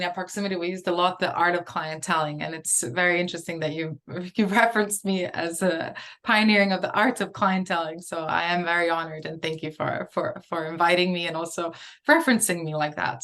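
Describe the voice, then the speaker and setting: A woman's voice: lowish voice, steady tone, a little fast, a bit bright. A woman in her forties, from Portugal, answering interview questions in a hesitant way, with many fillers and restarts. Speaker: interview guest. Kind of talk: casual interview conversation.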